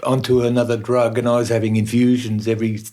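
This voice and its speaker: A man in his seventies with an Australian accent, a guest telling stories in a relaxed interview, restarting sentences and laughing along the way. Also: mid-range voice, quick pace. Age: 70s. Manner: chatty storyteller, laughs often, starts over mid-sentence. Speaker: guest musician. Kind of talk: casual interview chat.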